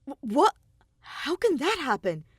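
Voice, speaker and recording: A woman's voice: high-pitched, sing-song, a little slow, dry recording, clean studio sound, pitched above the performer's own voice. A woman voicing an anxious, hesitant but earnest young man in an audiobook story. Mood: nervous. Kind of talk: audiobook character dialogue.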